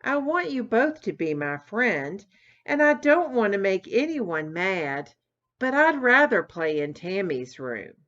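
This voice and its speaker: A woman voicing a shy, timid young girl salamander in a storybook, speaking quietly. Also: mid-range voice, big pitch swings, slow pace, muffled recording.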